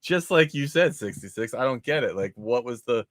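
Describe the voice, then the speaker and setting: A man's voice: medium pitch, lively intonation, moderate pace, no echo, studio quality. A man hosting a casual film discussion, speaking informally with questioning, nitpicking exasperation. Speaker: podcast host.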